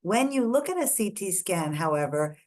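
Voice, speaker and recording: A woman's voice: fairly low pitch, very animated, normal speed, a little mellow. A woman from India giving a methodical online lecture, pointing out structures on images one by one. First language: not English. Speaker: lecturer on ear anatomy. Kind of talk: online lecture walking through scans.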